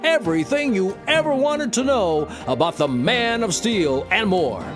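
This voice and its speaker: Promo announcer, very animated delivery, music behind. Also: mid-range voice, measured pace. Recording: promo over clear music.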